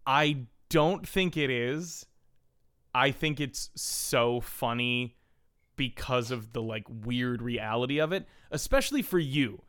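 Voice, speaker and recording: A man's voice: mid-range voice, takes it slowly, fairly bright tone, clean tone. A man co-hosting a podcast in casual banter, with a playful, giggly, jokey manner. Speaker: podcast co-host. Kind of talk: casual banter between co-hosts.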